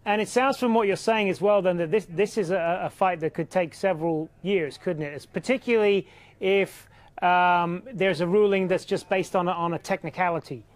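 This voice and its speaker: A male news presenter steers the panel with a notably high voice. He asks long, looping questions full of restarts. The sound is dry and close. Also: moderate pace, dry recording. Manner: conversational, restarts and fills while posing questions. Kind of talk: hosting a televised panel discussion.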